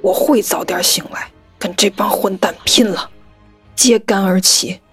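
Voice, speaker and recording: An actress playing a proud old rebel matriarch giving a solemn, measured speech in a radio drama. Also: female voice, medium pitch, breathy edge, soft background music. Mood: rousing defiance. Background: orchestral score.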